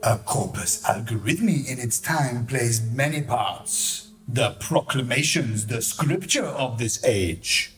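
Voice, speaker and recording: A man's voice: mid-range voice, animated, slow, few pauses, fairly bright tone. A man playing a scheming theatrical marquis who narrates a radio play in a grandiose, declamatory way. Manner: grandiose, declamatory, mock-Shakespearean.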